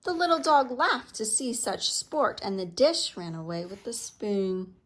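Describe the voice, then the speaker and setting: A woman reads a children's picture book aloud. Her voice sits a little high, and she delivers the lines expressively, as a storyteller for young listeners. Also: big pitch swings, unhurried, a bit bright.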